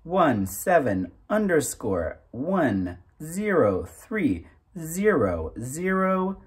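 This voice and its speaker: A man in his thirties speaks to camera as a casual channel host. His pace is slow and halting, with lively swings in pitch. The voice has a slightly husky edge, and the delivery is informal and self-conscious. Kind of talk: casual, unscripted chat to camera.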